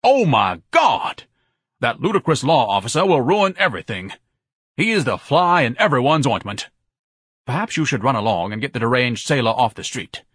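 A man's voice: medium pitch, big pitch swings, brisk, fairly bright tone, husky, dry recording, studio quality. A man voicing a pompous, bombastic scholar with a solemn, orator-like way of talking and florid diction, in a storybook narration. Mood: alarmed. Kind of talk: audiobook character acting.

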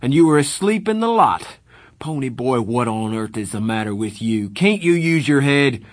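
A man doing a furious, shouting older brother. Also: medium pitch, lively intonation, normal speed, no room sound, clean studio sound, pitched above the performer's own voice, natural voice. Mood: furious scolding. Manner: shouting, scolding. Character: angry older brother, young man, stern.

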